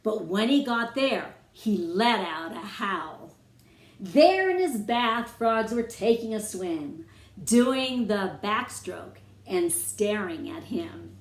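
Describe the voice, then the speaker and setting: A woman reading a rhyming children's story aloud as its author, with expressive, dramatic phrasing aimed at young listeners. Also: mid-range voice, slow pace. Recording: roomy, echoing room sound.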